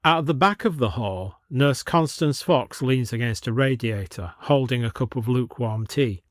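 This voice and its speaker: A male narrator reads a scripted audio story. He is measured and descriptive, with a mid-pitched voice recorded close and dry. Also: no room sound.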